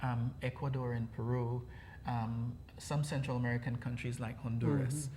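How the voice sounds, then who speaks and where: A middle-aged man from Costa Rica with a slight Costa Rican Spanish accent, explaining things in a measured way with frequent fillers as a guest on a TV interview. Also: medium pitch, level tone, mellow, slight background noise. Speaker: program director guest.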